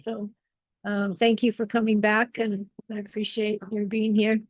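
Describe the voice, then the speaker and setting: A woman hosting an online panel, talking in halting starts with many restarts and repeated words. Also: mid-range voice, flat delivery, dry recording, low-quality recording. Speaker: event host and moderator. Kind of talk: casual panel moderation.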